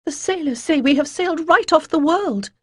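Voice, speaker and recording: A narrator acts out a slight young character in a fantasy tale. The voice is high and urgent, bursting with nervous alarm. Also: normal speed, a bit bright, no room sound, clean studio sound. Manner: exclaiming with nervous energy.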